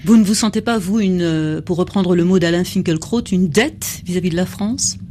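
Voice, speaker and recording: A woman's voice: on the low side, moderate pace, a little mellow, slight background noise. Woman radio host asking probing questions. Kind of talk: radio interview.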